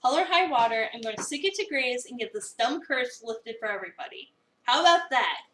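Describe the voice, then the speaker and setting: A woman's voice: fairly high pitch, lively intonation, fairly quick, a bit bright, a little hiss or hum. A woman playing a sassy, sarcastic young cafe employee in a dramatic reading of a visual novel. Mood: defiant. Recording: roomy, echoey, distant mic.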